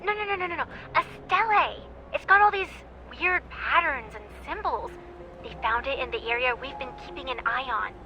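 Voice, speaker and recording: Perky, high-voiced young researcher. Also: female voice, expressive, moderate pace, sharp tone, lo-fi sound, slight background noise, exaggerated voice. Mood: excited. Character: perky, excitable young female researcher on a call.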